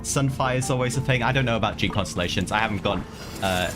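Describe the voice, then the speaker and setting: A man's voice: lowish voice, fast talker, over music. A man livestreaming a video game, chatting casually with his viewers, often repeating his phrases and calling them lads. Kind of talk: casual live gameplay chat with viewers. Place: voice over live video game play, game music behind.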